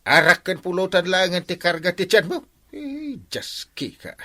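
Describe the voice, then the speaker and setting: Wildly swooping, exasperated uncle. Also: male voice, sits high, very animated, a little slow, sharp tone, heavily acted. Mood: scolding. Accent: Ilocano. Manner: exclamatory, swooping, nagging. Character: exasperated scolding uncle, village captain. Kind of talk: Ilocano radio comedy drama acting.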